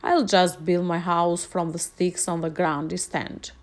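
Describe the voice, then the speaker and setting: A woman's voice: fairly low pitch, little pitch movement, measured pace, pitched below the performer's own voice, lightly acted. A woman voicing a creative, easygoing young male pig in a storybook, musing casually.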